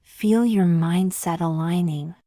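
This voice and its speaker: Slow, low-voiced woman guiding meditation. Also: dry recording, studio quality. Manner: soothing, gentle, measured with long pauses. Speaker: meditation guide. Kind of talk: guided meditation, scripted.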